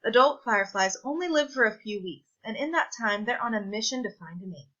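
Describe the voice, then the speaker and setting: A woman with a very bright, forward voice reads a children's science book aloud. She sits a little high in pitch and phrases things deliberately, like a teacher. The recording is somewhat dull and lo-fi. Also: expressive. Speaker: read-aloud presenter.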